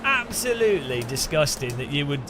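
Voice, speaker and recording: Animated Midlands man streaming sim racing. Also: normal speed, noisy background. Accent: English Midlands. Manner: jokey, animated banter with sudden high-pitched outbursts. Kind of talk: live-stream commentary while gaming.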